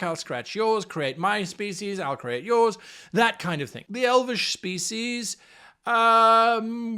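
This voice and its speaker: Highly animated, high-pitched man explaining tabletop games. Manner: theatrical, playful, sarcastic asides. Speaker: game master presenting an advice show.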